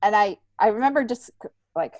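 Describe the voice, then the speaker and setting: A middle-aged woman chats as a guest in a casual conversation. She wanders through her thoughts like a relaxed storyteller, at an average pitch. The sound is dry, with very little room around her. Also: fairly quick, dry recording, dull audio. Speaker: podcast guest, stand-up comedian.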